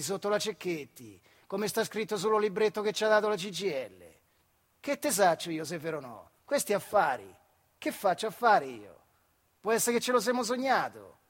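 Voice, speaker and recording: Actor as a husky, high-pitched old Marche factory worker. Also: male voice. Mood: angry, sardonic. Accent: central Italian, Marche. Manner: colloquial, rhetorical questions, swings from muttering to outbursts. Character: bitter retired Italian factory worker, weary, sardonic, impassioned. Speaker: stage actor. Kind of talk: theatrical monologue in dialect-tinged speech.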